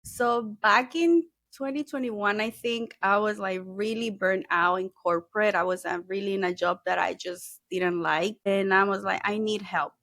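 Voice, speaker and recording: A woman's voice: medium pitch, moderate pace, hardly any pauses, a bit bright, no echo. Woman, dry close sound. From El Salvador. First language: Spanish. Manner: friendly, mixes in Spanish words. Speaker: guest, SEO consultant. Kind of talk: casual interview talk.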